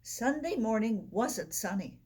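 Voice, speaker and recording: A woman reading a children's picture book aloud in an expressive storytelling style. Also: a little high, a little slow, husky. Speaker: storybook reader.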